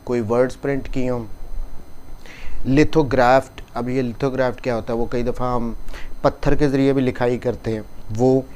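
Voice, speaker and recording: A man's voice: mid-range voice, darkish tone, low-quality recording. A man with a Pakistani accent giving an explanatory law lecture in a didactic way, repeating and rephrasing his points. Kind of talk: explanatory lecture, reading English clauses and explaining in Urdu. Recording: noticeable background noise.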